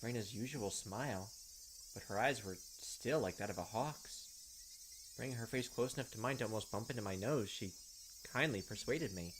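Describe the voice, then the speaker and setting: A man hosting a game video, reading visual novel text aloud with slow suspense and dropping into casual commentary. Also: on the low side. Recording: clearly noisy home recording, clicks, insect sounds.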